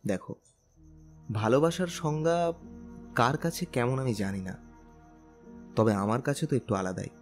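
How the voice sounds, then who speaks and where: Romantic audio drama lead: male actor as devoted young groom, teasing yet earnest, mid-pitched, over music. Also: unhurried, pauses often, natural voice. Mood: earnest, tender. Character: tender, teasing young bridegroom devoted to his bride. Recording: music bed under the voice.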